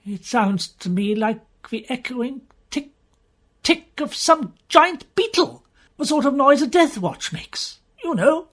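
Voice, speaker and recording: An excitable gentleman from a storybook mystery, acted by a male narrator. The voice is pitched high and swoops about animatedly. He is fussy and emphatic, exclaiming over every fanciful notion. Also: slow pace, full character voice. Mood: wondering. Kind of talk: audiobook character voice.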